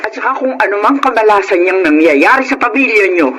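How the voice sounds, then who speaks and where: A woman's voice: mid-range voice, animated, fairly quick, a bit bright, natural voice. A woman with a Filipino accent playing a sneering, grudge-holding aunt in a radio drama. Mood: scornful gloating. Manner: sneering, taunting. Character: spiteful, grudge-holding aunt, scornful and unforgiving. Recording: noisy radio drama recording.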